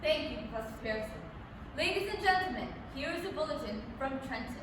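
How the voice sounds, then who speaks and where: A woman's voice: sits high, expressive, a little fast. A woman playing a grave, formal radio news announcer in a staged drama reading. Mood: formal, urgent. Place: library hall, staged reading, far microphone. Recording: very echoey noisy hall from far off.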